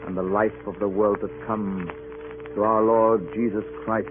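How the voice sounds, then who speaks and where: A man's voice: fairly low pitch, flat delivery, a little slow, close to an everyday voice. Man acting a grave sea captain, tinny radio. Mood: solemn. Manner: grave, formal, dramatic. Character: earnest, urgent ship's captain telling a grim sea tale. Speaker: narrator and ship's captain. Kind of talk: 1940s radio drama acting. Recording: tinny old radio, music behind.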